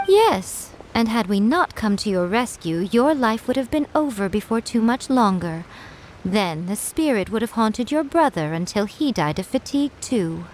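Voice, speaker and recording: Poised, sly shrine maiden. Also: female voice, fairly high pitch, very animated, moderate pace, a little mellow, slight background noise, rough recording. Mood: stern. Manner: composed, condescending, teasing. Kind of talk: video game voice acting.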